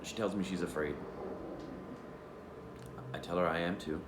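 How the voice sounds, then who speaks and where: A man's voice: low voice, steady tone, moderate pace, noisy background, lightly acted. An actor playing the profane, guilt-ridden ghost of a male tiger in a stage monologue, telling his story with sardonic humor. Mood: wry. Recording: echoey room.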